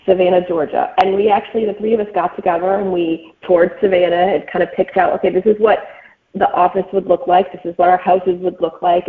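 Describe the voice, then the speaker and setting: A woman's voice: lowish voice, narrow, tinny audio. A woman speaking as an author guest in a casual interview, explaining things informally with frequent filler phrases. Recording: thin band-limited call sound.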